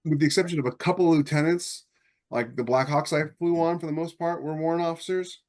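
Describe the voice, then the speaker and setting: A man's voice: fairly high pitch, steady tone, fast, darkish tone. An American man co-hosting a podcast, sharing personal anecdotes in a conversational, wry manner. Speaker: podcast co-host. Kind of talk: casual chat, personal anecdotes.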